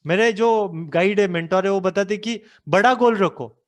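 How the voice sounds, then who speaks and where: A man's voice: high-pitched, unhurried. A man in his thirties from India, a business coach giving a motivational talk who asks the listeners rhetorical questions. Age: 30s.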